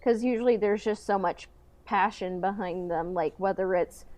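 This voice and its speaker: A woman's voice: medium pitch, moderate pace, darkish tone. A woman co-hosting a podcast, chatting casually in hesitant, trailing phrases. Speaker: podcast co-host. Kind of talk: casual chat.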